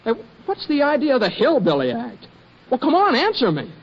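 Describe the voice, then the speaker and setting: A man's voice: extremely high pitch, huge pitch swings, a little fast, breathy edge, heavily acted. A man playing a hot-headed American airline pilot, curt and commanding, in an old-time radio drama. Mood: agitated, angry. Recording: dull, very noisy old radio broadcast.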